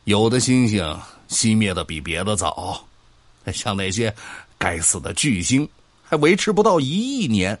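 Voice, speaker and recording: Guy playing a pedantic scoffing friend in an audio drama, lively intonation, dry studio sound, always arguing the point. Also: on the low side, unhurried, no room sound, exaggerated voice. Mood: emphatic, lecturing. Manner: needling, lecturing, scoffing. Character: argumentative, pedantic man drinking with his old friend. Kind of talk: Chinese sci-fi audio drama dialogue.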